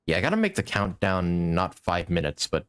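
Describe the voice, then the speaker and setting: Man streaming a game, very lively pitch. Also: normal speed, clean tone. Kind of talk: casual livestream commentary, reading game dialogue aloud.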